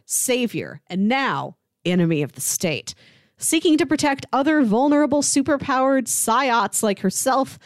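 Female podcast co-host, conversational, slightly high register, very dry recording. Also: dry recording. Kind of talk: casual podcast chat, reading out comic blurbs.

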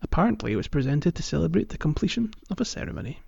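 A man with a fairly high-pitched, gravelly voice chats casually as a podcast co-host. The sound is a little dull and lo-fi, as if from a modest microphone. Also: very animated, racing, warm tone, no echo. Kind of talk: casual gaming podcast chat.

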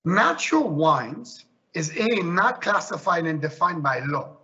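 Passionate high-voiced Swiss-born man. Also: big pitch swings, a little slow, sharp tone, slightly rough, highs cut off. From Switzerland. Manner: passionate, emphatic. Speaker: winemaker. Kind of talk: panel discussion.

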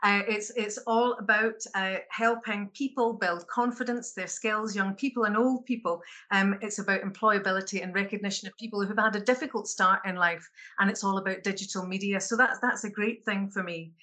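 Bright-voiced woman, halting delivery. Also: medium pitch, steady tone. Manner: hesitant, many filler sounds and restarts. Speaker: panel speaker in health intelligence. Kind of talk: introducing herself on a panel.

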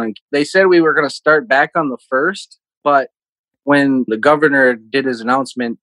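A man's voice: medium pitch, a bit bright, no echo, poor audio. Man in his thirties, dry close sound. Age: 30s. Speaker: interview guest, casino team supervisor. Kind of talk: casual conversational interview answers.

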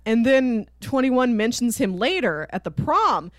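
A woman co-hosting a podcast in casual chat, telling stories in an animated way and acting out quoted dialogue. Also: a little high, unhurried, no echo, studio quality. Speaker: podcast co-host. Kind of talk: casual chat about a TV cartoon.

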